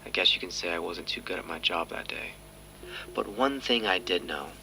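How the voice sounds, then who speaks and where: An actor playing a skeptical, weary police detective who presses quietly in an audio drama. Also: male voice, low-pitched, normal speed, gravelly, a little hiss or hum, heavily acted, rough recording. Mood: reflective, weary. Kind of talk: audio drama acting, interrogation and confessional narration.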